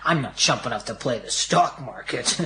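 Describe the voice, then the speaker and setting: An actor playing a brash, tipsy young American millionaire playboy with an American accent in a radio drama. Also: male voice, medium pitch, lively intonation, moderate pace, bright, forceful tone, slight background noise. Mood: breezy, wry.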